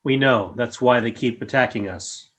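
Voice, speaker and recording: A man playing a calm, grave alien creature in a radio play, with a formal, measured way of speaking. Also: mid-range voice, close to an everyday voice. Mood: calm, guarded. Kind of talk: fan radio play acting.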